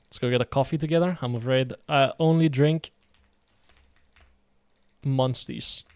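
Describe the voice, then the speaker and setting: A man's voice: mid-range voice, steady tone, unhurried, a little mellow, clean tone, no room sound. A man from Denmark chatting casually while streaming a game, rambling and answering his viewers' messages. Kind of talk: casual live game commentary.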